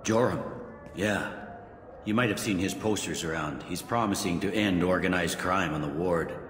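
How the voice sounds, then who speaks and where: Game cop: pragmatic veteran officer, low register, dry authority, world-weary, roomy reverberant sound. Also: male voice, moderate pace, fairly bright tone, a little hiss or hum. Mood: explanatory. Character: seasoned, pragmatic police officer. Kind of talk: video game voice acting.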